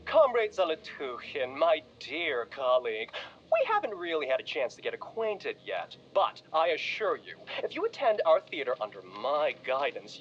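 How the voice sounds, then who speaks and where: An actor playing a flamboyant, self-important theater director in a video game, flowery and ingratiating. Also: male voice, on the high side, lo-fi sound, a little hiss or hum. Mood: grandiose. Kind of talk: video game recorded-message acting.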